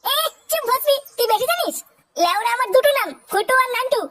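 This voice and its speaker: A man's voice: very high-pitched, animated, fast talker, exaggerated voice. A man voicing a brash, foul-mouthed, scheming little boy in a comic cartoon, with a West Bengal Bengali accent. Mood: cocky, brash. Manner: brash, loud, slangy bragging.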